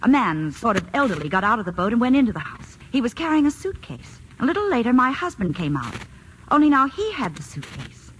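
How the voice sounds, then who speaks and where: A woman's voice: a little high, sing-song, a little fast, a bit bright, rough recording. An actress playing an anxious, earnest married woman warning an old friend, in a hesitant, confiding 1940s radio drama style. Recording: hissy vintage radio broadcast.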